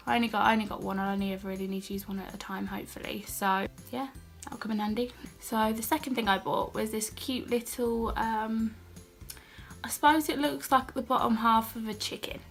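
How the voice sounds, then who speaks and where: Young British woman, croaky from a cold, flat and chatty haul-vlog delivery. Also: medium pitch. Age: young adult. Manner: chatty and self-deprecating, with asides and fillers. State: has a cold, a bit croaky. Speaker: beauty and haul vlogger. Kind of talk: casual haul vlog chat.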